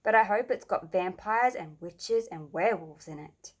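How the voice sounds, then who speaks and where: A woman voicing an excitable, bossy schoolgirl in a children's storybook, emphatic and dramatic. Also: mid-range voice, moderate pace, lightly acted. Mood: eager.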